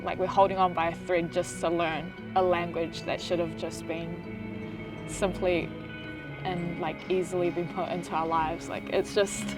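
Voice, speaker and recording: A Māori woman slam poet with a New Zealand accent, speaking in a halting, frustrated way. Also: lowish voice, level tone, music in the background.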